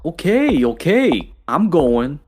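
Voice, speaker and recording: A man's voice: fairly high pitch, big pitch swings, slow pace, darkish tone, slight background noise. A man playing a deferential, nervy henchman in an old-time radio crime drama, talking in slangy gangster style. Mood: uneasy, reluctant. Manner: slangy, doubtful, eager to please.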